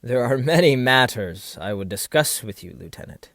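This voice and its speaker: A man performs a vain, seductive military captain from a period audio play. His mid-pitched voice swings dramatically up and down as he schemes in florid, theatrical phrases, pausing for rhetorical weight. The sound is close and dry. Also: animated, fairly bright tone, no echo. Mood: apologetic.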